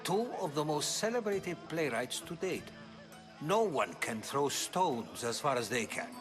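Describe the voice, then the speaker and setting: A man's voice: on the high side, very animated, measured pace, over music. An actor playing a genial, learned older Greek man, wry and eloquent, in a video game cutscene. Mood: amused.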